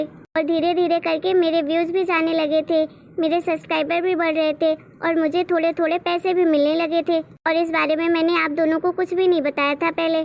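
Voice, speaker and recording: Woman as cartoon daughter, young, devoted and determined; extremely high, girlish pitch, faint noise behind. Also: creaky, lo-fi sound. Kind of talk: Hindi cartoon moral-story dubbing.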